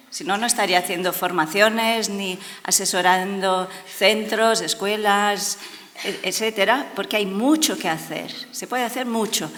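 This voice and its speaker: A woman's voice: mid-range voice, a bit bright. A woman from Brazil speaking Spanish with a Brazilian Portuguese accent, giving an earnest, emphatic talk at an education conference and repeating words for stress. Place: large conference hall. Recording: long hall reverb.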